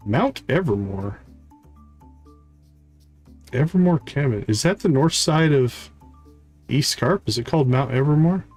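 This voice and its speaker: A man's voice: medium pitch, a little mellow. A man livestreaming a video game, casually thinking aloud in short phrases as he plays. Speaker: live streamer, game host. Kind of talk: casual gameplay commentary, thinking aloud and reading game dialogue. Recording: music playing behind the voice. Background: game music.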